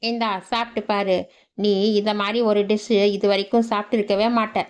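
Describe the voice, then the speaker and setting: A woman's voice: medium pitch, flat delivery, brisk, clean tone, natural voice. A woman voicing a lazy, cheeky young village man in a cartoon, with a grumbling, sarcastic manner. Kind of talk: cartoon voice acting.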